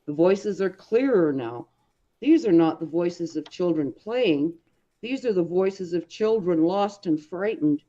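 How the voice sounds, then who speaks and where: A woman reading a story aloud as an audiobook, with expressive, dramatic and deliberate phrasing. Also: on the low side, highs cut off. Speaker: audiobook reader. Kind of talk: expressive scripted story narration.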